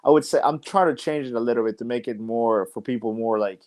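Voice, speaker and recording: A young man who wrestles professionally talks as a podcast guest at a mid-range pitch. He rambles thoughtfully and leans on "like" and "you know" while he works out his ideas. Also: quick pace, darkish tone. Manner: rambling and reflective, many "like" and "you know" fillers. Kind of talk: casual interview chat.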